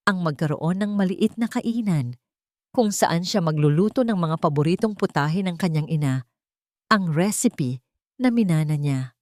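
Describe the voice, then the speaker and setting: A woman's voice: fairly low pitch, lively intonation, moderate pace, darkish tone, no room sound, studio quality. A woman reading a scripted romantic story as a dramatic narrator.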